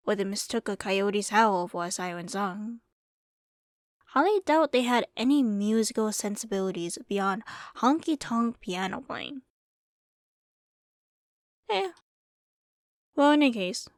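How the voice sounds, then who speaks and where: Woman voicing a folksy, high-pitched Wild West saloon keeper. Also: no room sound, studio quality. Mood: wry, dismissive. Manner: folksy, rambling, drawling storytelling. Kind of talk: ASMR roleplay, storytelling to the listener.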